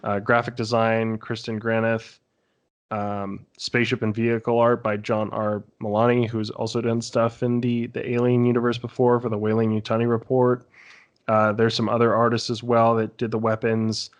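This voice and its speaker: A man's voice: mid-range voice, completely flat delivery, normal speed. A man speaking as a podcast panellist in a casual review discussion.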